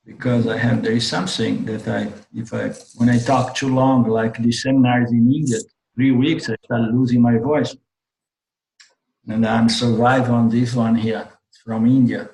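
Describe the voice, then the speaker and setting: A man teaching an online spiritual class. He speaks with a strained voice, restarts his sentences often and keeps clearing his throat. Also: medium pitch, level tone, darkish tone, reverberant, rough recording. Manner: slow, halting delivery with frequent restarts and throat clearing. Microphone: video call.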